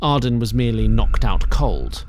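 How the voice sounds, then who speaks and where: A man's voice: on the low side, very animated, normal speed, a little mellow, slight background noise. A man narrating an audio drama in a dry, wry storytelling manner.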